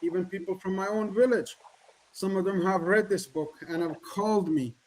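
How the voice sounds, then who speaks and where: High-voiced Middle Eastern-born man presenting. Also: moderate pace, a little hiss or hum, low-quality recording. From Middle East. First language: Kurdish or Persian. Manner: earnest, repetitive, rhetorical questions. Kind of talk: online presentation, motivational pitch.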